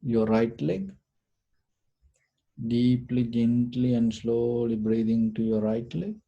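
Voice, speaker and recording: A man leading a guided meditation, giving short, deliberate instructions in a gentle, soothing manner. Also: medium pitch, creaky, dull audio. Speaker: meditation teacher.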